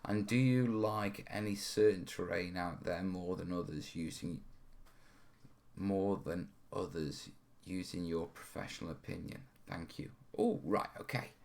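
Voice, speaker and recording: Low-voiced man, rambling and informal. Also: measured pace, gravelly, darkish tone. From North Wales, UK. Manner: informal, rambling, often checking in with "yeah" and "you know". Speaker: host of a terrain-modelling hobby stream. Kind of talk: casual live question-and-answer chat. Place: studio.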